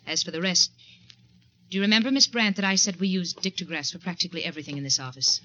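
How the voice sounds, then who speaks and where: An actress playing a coolly menacing secretary turned blackmailer, prim, controlled and icily courteous, in 1940s radio drama style. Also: female voice, lowish voice, fast talker, pushed and bright. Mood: coolly triumphant. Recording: muffled 1940s radio recording.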